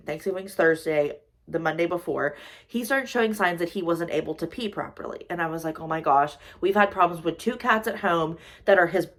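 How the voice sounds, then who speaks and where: A woman's voice: lowish voice, fairly bright tone. A woman in her twenties chatting casually in a vlog, rambling with lots of fillers as she talks through her worries. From United States. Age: 20s. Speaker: social worker and vlogger. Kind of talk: casual chat.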